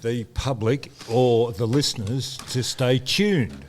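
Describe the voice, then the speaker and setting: An Australian man co-hosting a podcast, bantering, teasing and interrupting with quick laughs. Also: mid-range voice, a little mellow. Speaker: podcast co-host. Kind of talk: casual chat.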